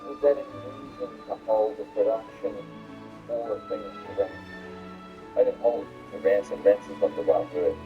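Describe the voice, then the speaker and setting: A man talking casually in a defensive, halting, argumentative way. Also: fairly low pitch. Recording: dull, muffled sound with music.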